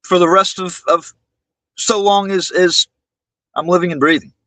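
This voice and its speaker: A man hosting a livestream monologue, speaking indignantly and haltingly, often repeating his words. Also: sits high, steady tone, sharp tone, no room sound. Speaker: livestream host.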